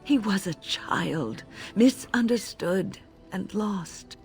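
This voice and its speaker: An actress playing an older female mage mentor in a fantasy game, measured and confessional, full of grave regret and compassion. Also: mid-range voice, expressive, darkish tone, faint music behind. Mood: grieving, remorseful. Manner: measured, reflective, confessional, emotive. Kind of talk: dramatic scripted game dialogue, storytelling.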